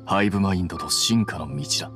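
A man's voice: low voice, quick pace, music in the background, close to an everyday voice. An actor playing a cool, analytical young male scholar in a Japanese anime game, explaining things in a composed, precise, matter-of-fact way.

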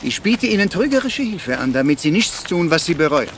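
An actor playing a vain, fussy middle-aged detective in a radio crime drama, formal and theatrical. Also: male voice, on the high side, sing-song, brisk, slight background noise. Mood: self-assured. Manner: formal and theatrical, sprinkled with French courtesies.

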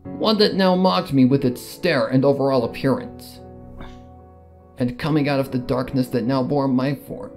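A man's voice: medium pitch, normal speed, darkish tone. A man reading a dramatic first-person story in a solemn, theatrical manner. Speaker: fan fiction narrator. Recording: music behind the voice.

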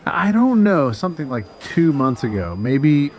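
A man's voice: a little high, sing-song, a little slow, darkish tone. A man playing a jaded, dismissive spacer chatting bluntly and offhand in an audio drama. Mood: casual. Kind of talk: casual dialogue in an audio drama.

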